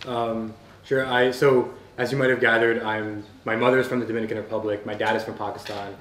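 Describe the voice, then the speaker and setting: An American man speaking on a festival panel, quick and passionate, arguing his points with frequent restarts. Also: fairly low pitch, level tone, fairly bright tone, slight background noise. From United States. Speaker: panelist, novelist. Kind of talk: animated panel talk. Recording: echoey room, faint ambience.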